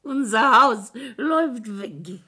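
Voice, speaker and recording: An actress playing a drunk, dreamy, downtrodden wife in a radio play. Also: female voice, on the high side, animated, takes it slowly, pushed and bright, husky, exaggerated voice. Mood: tearful wonder. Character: tipsy, dreamy, downtrodden wife of a Bavarian businessman.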